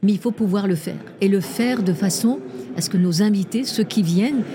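A woman's voice: medium pitch, brisk, crowd noise. A woman originally from Iran, a guest in an interview, speaking earnestly and persuasively, laying out figures with care. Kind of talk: conversational interview.

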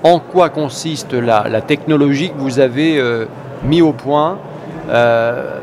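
A man's voice: mid-range voice, takes it slowly. Chatty male interviewer with faint noise. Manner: chatty, teasing, leading questions. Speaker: interviewer, host. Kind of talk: conversational interview.

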